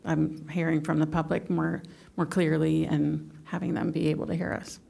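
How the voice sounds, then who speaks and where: A woman's voice: low-pitched, level tone, moderate pace, creaky, warm tone. A woman committee member speaking thoughtfully at a table microphone, with filler ums. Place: city meeting room.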